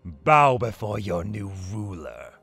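A man voicing a villainous, gloating sea sorcerer in a fan audio drama, theatrical and menacing, with a gravelly, husky voice. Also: on the low side, very animated, slow, a bit bright, no room sound, soft background music, full character voice. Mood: commanding. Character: gloating villainous sea sorcerer with tentacles, male, grown giant.

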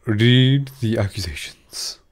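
A man's voice: mid-range voice, animated, takes it slowly. A man voicing a pompous storybook king. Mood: commanding. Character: pompous impatient fairy-tale king.

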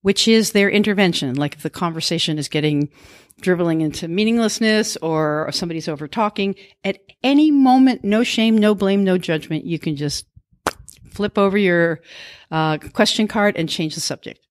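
Earnest low-voiced woman guiding a group. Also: moderate pace, no echo, clean studio sound. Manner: earnest, expressive, uses imagery. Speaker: guest author explaining a game. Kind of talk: group discussion facilitation.

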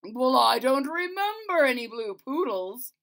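Woman playing a storybook king, bossy, booming, high and loud, swings around a lot. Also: sing-song, a little slow, heavily acted. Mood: impatient. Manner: loud, commanding, high-pitched. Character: booming demanding old male king.

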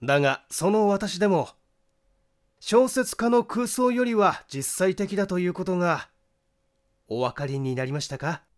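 A man acts out a shrewd male detective from a mystery audiobook. The voice is high for a man and slow, with theatrical, deliberate delivery and polite but pressing accusation. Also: animated. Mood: coolly triumphant. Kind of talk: dramatic audiobook character acting.

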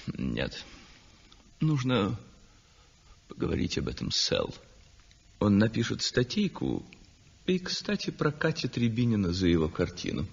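An actor playing a self-satisfied, dismissive painter in a 1960s radio drama, declaiming with rhetorical self-assurance. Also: male voice, fairly low pitch, huge pitch swings, measured pace, vocal fry, long pauses, slightly rough, full character voice. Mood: indignant, scornful. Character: self-satisfied aesthete male landscape painter, dismissive of ugly art. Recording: muffled 1960s radio recording, long reverb.

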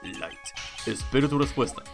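A man's voice: medium pitch, expressive, moderate pace, vocal fry, soft background music. A man voicing a smug, cool, unyielding male prosecutor in an amateur reading of a courtroom video game. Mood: smug, stern.

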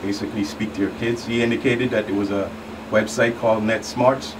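Low-voiced male investigator, noisy recording. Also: steady tone, fairly quick. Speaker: investigating agent, guest expert. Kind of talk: explanatory radio interview answers. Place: small radio studio. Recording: noisy, distant mic with room hum.

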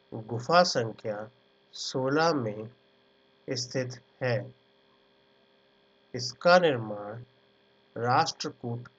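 A man teaching an art exam class, dictating notes word by word and then explaining pictures in a casual way. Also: medium pitch. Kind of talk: slow dictated lecture over handwritten notes. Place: at a computer, screen recording. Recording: dull lo-fi sound with mains hum. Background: faint electrical hum.

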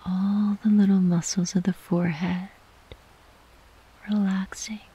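A woman guiding a sleep meditation in a soft, breathy, whispery voice, gently and soothingly, with long unhurried pauses. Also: medium pitch, level tone, poor audio. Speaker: yoga nidra meditation guide.

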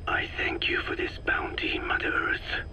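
An actor playing a proud, picky old sage in a video game, with a whispery rasp and solemn, formal phrasing. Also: male voice, a little slow, natural voice. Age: older. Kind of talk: scripted video game drama.

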